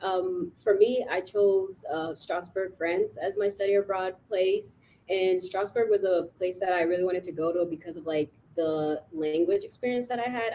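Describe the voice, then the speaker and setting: A soft-spoken young woman from Kenya, a student panelist, who fills her answers with "like.". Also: fairly low pitch, slight background noise, low-quality recording. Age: young adult. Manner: soft-spoken, heavy use of like.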